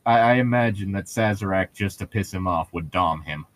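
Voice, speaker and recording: A man's voice: sits low, normal speed, no room sound. A man co-hosting a joking group read-along, with a teasing, sardonic way of talking. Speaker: podcast co-host reading a novel aloud.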